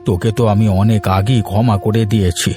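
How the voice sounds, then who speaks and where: A man voicing a gentle, dying old village man in a cartoon, weak and resigned. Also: on the low side, steady tone, a little fast, over music, lightly acted. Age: older. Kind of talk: cartoon voice acting.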